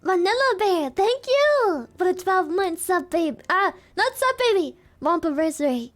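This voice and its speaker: High, unhurried woman with a sore throat. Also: very animated. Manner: giggly and playful. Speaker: streamer. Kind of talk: casual live-stream chat.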